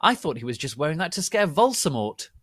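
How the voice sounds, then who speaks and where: A man voicing a melodramatic, easily outraged teenage goth girl in a storybook reading, with drawn-out exclamations. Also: on the high side, sing-song, fairly bright tone, no room sound, clean studio sound, voice pushed up. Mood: incredulous.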